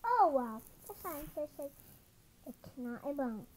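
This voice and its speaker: A storybook reader with a very high voice reads a children's picture book aloud. The delivery swings widely in pitch and is expressive, with occasional stumbles. The recording has some background noise. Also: takes it slowly. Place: indoors at a wooden table. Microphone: camera over the book.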